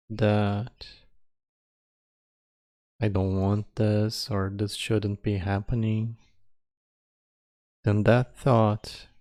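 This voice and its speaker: A man leading a guided meditation as its teacher, speaking calmly in spare, measured phrases with long pauses. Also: on the low side, no room sound, studio quality. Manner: calm, measured.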